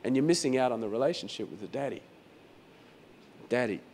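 A man preaching a sermon as pastor in a croaky, hoarse voice, talking conversationally and repeating phrases for emphasis. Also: mid-range voice, lively intonation, fairly quick, a little mellow, a little hiss or hum. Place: venue hall during a church service.

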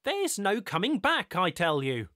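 A man voicing a calm, courteous godlike male overseer with smooth, measured, faintly weary politeness. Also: high voice, dry recording, studio quality, voice pushed up, full character voice. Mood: concerned.

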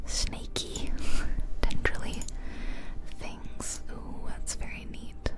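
A woman whispering close to the microphone in an ASMR style, casually musing aloud as she comments on a game. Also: drawn-out words. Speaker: ASMR video creator. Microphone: close ear-to-ear ASMR microphone.

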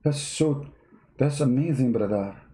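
Sarcastic commentator with a non-native accent. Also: male voice, medium pitch, measured pace, warm tone, roomy sound. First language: not English. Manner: sarcastic, mocking, repeats words. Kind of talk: mocking commentary over clips.

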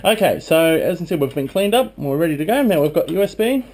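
Higher-pitched young man, casual vlogger. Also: big pitch swings, fast talker, a little mellow. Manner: informal, chatty. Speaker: video blogger. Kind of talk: casual hands-on narration of a PC build. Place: small room at home, indoors. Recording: faint background noise.

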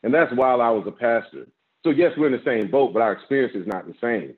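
A man in his fifties delivers a sermon over a video call, his strong, mid-pitched voice measured and building to emphatic peaks. The sound is thin and phone-like, as laptop call audio tends to be. He speaks from a small office. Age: 50s. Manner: measured preaching, rising with emphasis, repeats words. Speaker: guest pastor. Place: office with framed certificates, indoors. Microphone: video call, laptop camera.

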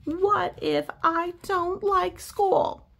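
A woman's voice: extremely high pitch, fairly even intonation, very slow, creaky, a bit bright, voice pushed up, exaggerated voice. A woman voicing an anxious, whiny young cartoon pigeon from a storybook, stretching words out for emphasis. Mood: panicked. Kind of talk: storybook read-aloud character voice.

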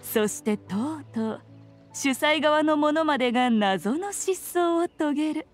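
A woman's voice: high voice, animated, unhurried, faint music behind. A woman voicing a playful, commanding ancient fox spirit lady in an anime game, speaking in an archaic, self-assured, teasing way. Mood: amused, triumphant. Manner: archaic, lordly old-fashioned speech, teasing and self-assured. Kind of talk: Japanese anime game voice acting.

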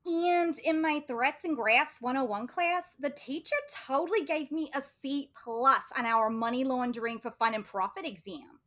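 A woman's voice: high-pitched, moderate pace, hardly any pauses, fairly bright tone. A woman playing a whiny, entitled college-age mob daughter in a comedic radio play. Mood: entitled pleading.

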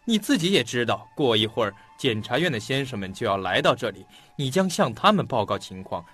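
Blunt skeptical detective, impatient, mid-pitched, very animated intonation, audio drama questioning. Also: male voice, fairly quick, slightly rough. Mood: impatient, dismissive. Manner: probing, blunt, theatrical. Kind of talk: Chinese audio drama acting, interrogative dialogue. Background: faint background music.

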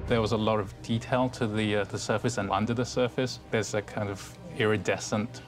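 A man's voice: fairly low pitch, steady tone, a little fast. A man who works in visual effects, talking in a behind-the-scenes interview. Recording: music playing behind the voice.

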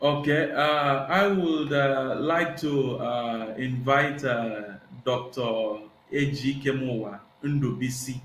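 A middle-aged man with a Nigerian accent whose first language is Igbo, a professor giving measured, rhetorical answers on a video call with many hesitant "uh"s. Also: mid-range voice, fairly bright tone, a little hiss or hum. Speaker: guest professor. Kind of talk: reflective interview answers. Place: small indoor room. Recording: echoey room on a video call.

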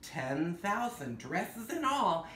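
Guy in drag reading a storybook, super theatrical, slow pace, kinda echoey room. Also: high voice, very animated, a bit bright. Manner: theatrical, gasps and dramatic drawn-out words. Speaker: drag performer hosting storytime. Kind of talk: animated storybook reading with playful asides. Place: indoor room with fabric backdrop. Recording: roomy echo, some background noise.

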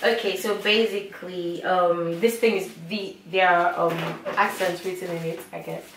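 A woman's voice: on the low side, normal speed, slight background noise. A young woman from Nigeria explaining a game to her friends with lots of fillers. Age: young adult. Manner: lively, explains with many fillers. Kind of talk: casual chat with friends. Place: small room, friends on a sofa. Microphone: far camera. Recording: echoey room from a distance.

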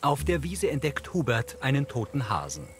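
A man reading scripted audio description in a measured, neutral way. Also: mid-range voice, wildly swooping pitch. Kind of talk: scripted narration. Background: background music.

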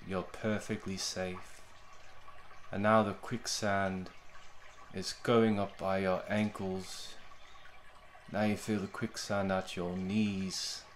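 A man leading a guided meditation in a gentle, soothing, unhurried way, with long pauses between short phrases. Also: sits low, flat delivery.

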